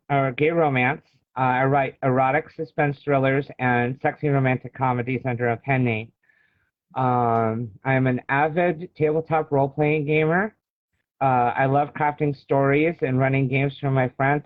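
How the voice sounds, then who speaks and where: A man's voice: mid-range voice, no room sound. American male author guest, forties, casual interview talk, narrow phone-quality sound, dry. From Illinois, United States. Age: 40s. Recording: thin phone-like call audio.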